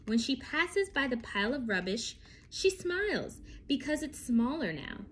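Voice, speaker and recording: A woman reads a children's story aloud in a fairly high, very lively voice. She takes her time, leaving dramatic pauses and speaking directly to her young listeners. There is faint noise behind her. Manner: deliberate phrasing with dramatic pauses, addresses the listener. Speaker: picture book reader for children.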